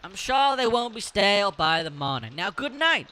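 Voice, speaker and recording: A man playing a stern, responsible farmhouse sibling with a very high, piercingly bright voice. The delivery is that of an audio drama, with faint noise behind it. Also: very animated, normal speed, exaggerated voice. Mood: hospitable, weary. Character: sharp, hard-working sibling in charge of farmhouse. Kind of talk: amateur audio drama acting.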